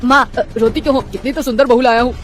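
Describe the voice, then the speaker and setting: A woman's voice: a little high, fast talker, faint music behind, close to an everyday voice. A woman voicing an eager young man in a cartoon story. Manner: excited, eager. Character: eager young adult son, cheerful. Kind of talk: cartoon voice acting.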